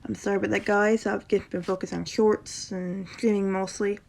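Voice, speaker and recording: A woman with a sore throat and a slight rasp, casually reading a horror story aloud, often stumbling over words and correcting herself. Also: medium pitch, level tone, moderate pace, a little mellow. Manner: reacts casually between passages.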